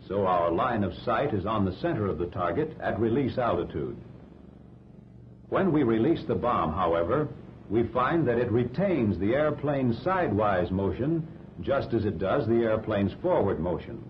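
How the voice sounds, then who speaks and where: 1940s American training film narrator, dull rumbly soundtrack. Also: male voice, very animated, a little hiss or hum. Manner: measured, didactic.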